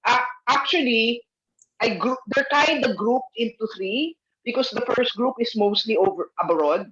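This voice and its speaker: A woman's voice: on the high side, moderate pace, a bit bright. Filipino woman on a dull video call. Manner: code-switches English and Tagalog. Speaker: meeting organizer. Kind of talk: casual online meeting talk.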